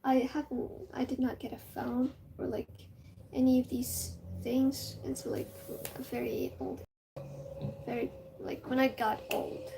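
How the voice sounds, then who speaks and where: Young moroccan woman, high voice, unhurried, excitable rambling, lots of "like", slips into whispers, moroccan accent. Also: vocal fry, slight background noise, low-quality recording. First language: Amazigh (Tamazight). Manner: excitable, rambling, full of "like" fillers, drops into whispers. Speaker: vlogger. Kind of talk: casual rambling chat.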